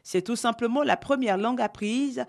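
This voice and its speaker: A woman reporter reading a scripted voice-over for a TV news magazine, measured and clear. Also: a little high.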